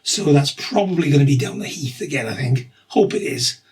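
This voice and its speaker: A middle-aged man with a husky voice and a slight cough, talking through his treatment in a rambling, matter-of-fact video diary. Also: fairly high pitch, expressive, a little fast, darkish tone. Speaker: vlogger documenting his cancer treatment.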